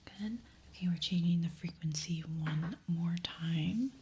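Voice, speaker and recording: A woman in a breathy whisper, softly giving short, reassuring instructions as an examiner in an ASMR medical roleplay. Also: lowish voice, unhurried, background noise. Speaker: ASMR creator playing an ear examiner.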